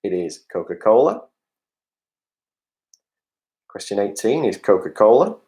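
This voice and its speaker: A man's voice: on the low side, big pitch swings, measured pace, warm tone. Quiz host: man, jokey and chatty, reading questions and answers over a video call. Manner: jokey, chatty asides to players, reads questions aloud.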